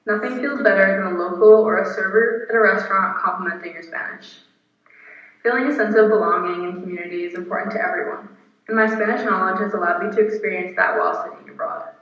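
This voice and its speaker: A woman's voice: mid-range voice, fairly even intonation, quick pace, fairly bright tone. Woman reading a speech in an echoey room. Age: 20s. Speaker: guest speaker. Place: apartment room. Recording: echoey, distant, muffled video recording.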